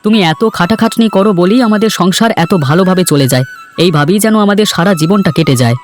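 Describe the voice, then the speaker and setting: A man's voice: sits high, fast, soft background music, close to an everyday voice. A man voicing a cheerful, sociable young village man in a cartoon story, eager and friendly. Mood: affectionate, reassuring.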